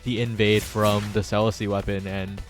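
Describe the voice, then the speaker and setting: Low-voiced man commentating a game over music. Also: little pitch movement, moderate pace. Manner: long running sentences following the action. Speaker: esports commentator. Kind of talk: live play-by-play game commentary.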